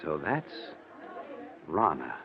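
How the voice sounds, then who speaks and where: An actor playing a high-strung, impulsive young fiancé in a dramatic 1940s radio play. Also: male voice, very animated, takes it slowly, gravelly, a faint crowd, full character voice. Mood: playful, intrigued. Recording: dull, hissy 1940s radio recording.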